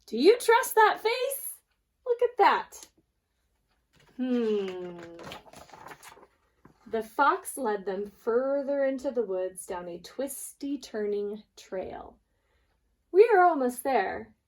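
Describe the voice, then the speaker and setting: Slow, higher-pitched woman teaching preschoolers. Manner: deliberate, expressive, addressing small children. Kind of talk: children's read-aloud and lesson.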